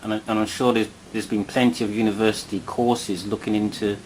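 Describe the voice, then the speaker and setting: A man's voice: fairly low pitch, level tone, a little fast, slight background noise, poor audio. A man hosting an interview, steering the talk with prompting questions. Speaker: host.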